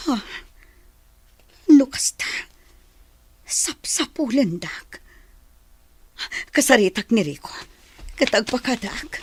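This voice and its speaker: A woman's voice: on the high side, slightly rough, dry recording, exaggerated voice. A woman with an Ilocano accent playing an earnest, homesick grown daughter in a radio drama, with sighing, emotional delivery.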